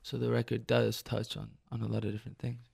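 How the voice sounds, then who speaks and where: A man from Detroit, a musician guest on live radio, talking in a reflective, halting way with many restarts. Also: mid-range voice, completely flat delivery, creaky, darkish tone, breathy edge. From Detroit, United States. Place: radio studio.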